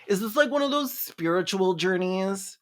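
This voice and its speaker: Snarky reviewer voice, mid pitch, scripted recap, dry mockery and some cussing. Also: expressive, normal speed. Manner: snarky, mocking, swearing. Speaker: video essayist and film reviewer. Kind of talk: sarcastic scripted movie recap.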